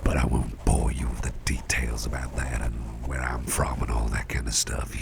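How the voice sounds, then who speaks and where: A man playing a caring, gentle boss in an ASMR roleplay, murmuring tender reassurance in breathy whispers with a gravelly rumble. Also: flat delivery, normal speed, full character voice. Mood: soothing. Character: caring, gentle male boss soothing a cursed employee.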